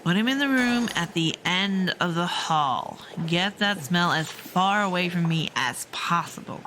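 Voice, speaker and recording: An actress playing a brisk, businesslike female charge nurse giving a patient report, in an audio drama. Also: fairly low pitch, expressive, noisy background. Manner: curt, businesslike.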